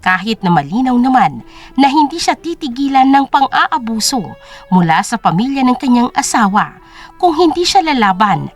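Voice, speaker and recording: A woman's voice: a little high, sing-song, normal speed, faint music behind. A woman narrator reading a scripted story in a dramatic storytelling style.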